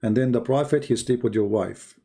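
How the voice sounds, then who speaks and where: Man, gravelly voice, sarcastic, mocking livestream host. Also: medium pitch, fairly quick, warm tone. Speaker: channel host.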